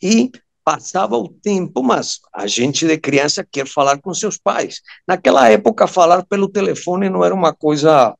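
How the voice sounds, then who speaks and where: A man in his early fifties with a Venezuelan Spanish accent talks as an interview guest. His voice sits a little high and has a clear creak. He tells his story haltingly, pausing to find the right word. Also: lively intonation. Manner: halting, searching for words, often checking with "ok?". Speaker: guest, surgeon and amateur radio operator.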